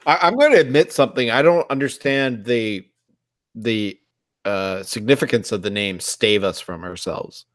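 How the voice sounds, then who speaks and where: A man's voice: lowish voice, expressive, normal speed, a bit bright. A man with a cold and a stuffed-up nose, co-hosting a beer review podcast in casual chat, earnest and thinking aloud.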